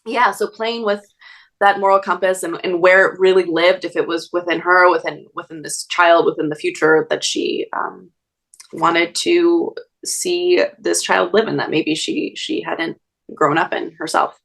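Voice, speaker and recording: An actress being interviewed, answering thoughtfully and hesitantly with many pauses and ums. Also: female voice, lowish voice, fairly even intonation, fairly bright tone.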